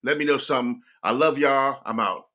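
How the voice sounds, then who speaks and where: A man's voice: mid-range voice, a little fast, heavy background noise. An American man hosting a reaction video, talking in a reflective, rambling way and addressing his viewers directly. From United States. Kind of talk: casual reaction commentary.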